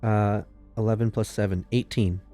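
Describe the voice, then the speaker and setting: A man's voice: lowish voice, steady tone, moderate pace, dark tone. A man playing his character in a tabletop game, talking hesitantly and slipping in and out of character. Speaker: player. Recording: faint background music.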